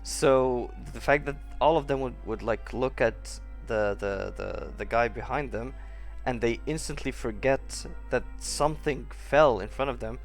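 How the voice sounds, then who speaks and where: A male streamer with a mid-pitched voice reacts to a game in casual commentary. He sounds sceptical and halting, thinking aloud over faint music. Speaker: gaming streamer reacting to a playthrough.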